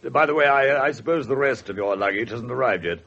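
An actor with an English accent playing a pompous, courteous, middle-aged police superintendent in a radio drama, formal and somewhat awkward. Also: male voice, mid-range voice, fast, fairly bright tone. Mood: awkward, confiding. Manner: formal, hesitant when uneasy. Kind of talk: 1970s radio drama acting. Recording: slightly muffled 1970s radio broadcast.

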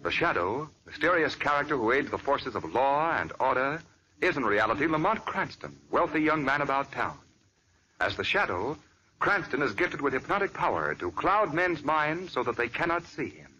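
Male 1940s radio announcer, bright and lively, dull old broadcast sound. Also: mid-range voice, moderate pace, slight background noise. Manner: formal, emphatic announcer delivery.

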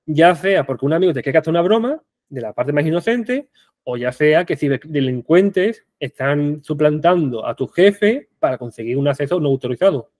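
Young man, Andalusian accent, conference talk, somewhat high voice, dry close sound. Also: normal speed, no room sound. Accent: Andalusian Spanish. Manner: animated, rhetorical questions, frequent restarts. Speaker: conference speaker. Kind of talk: online tech conference talk. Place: small indoor room.

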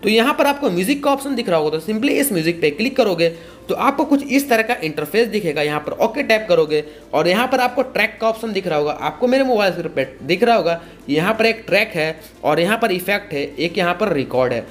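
A young man hosts a casual tutorial. His pitch moves up and down in a lively way as he explains each step, often repeating himself. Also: fairly quick. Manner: repetitive, explanatory. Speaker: tutorial host.

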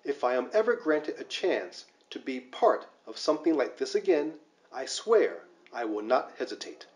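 Male narrator, scripted and reflective, animated pitch movement. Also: moderate pace.

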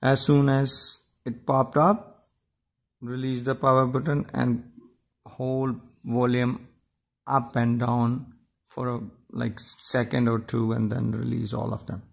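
A man giving an informal step-by-step tech tutorial, explaining in a halting, repetitive way. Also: medium pitch, little pitch movement, creaky. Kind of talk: informal step-by-step how-to narration.

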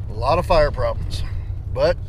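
A man's voice: mid-range voice, animated, moderate pace. An American man in his thirties chatting casually and folksily to the camera as he drives his truck. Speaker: vlogger. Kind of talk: casual chat to camera while driving. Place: inside a pickup truck cab while driving. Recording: noisy car interior, engine and road noise.

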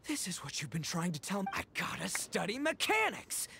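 A man's voice: sits high, wildly swooping pitch, fairly quick, gravelly, pushed and bright, slight background noise, full character voice. A man voicing a brash, dramatic young male oni gang boss with a bombastic, slangy delivery in a video game. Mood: determined.

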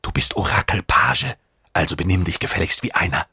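A man's voice: low voice, lively intonation, fairly quick, gravelly, sharp tone, husky, no room sound, clean studio sound, full character voice. A man voicing a stern worm-like creature in an audiobook, scolding and giving commands.

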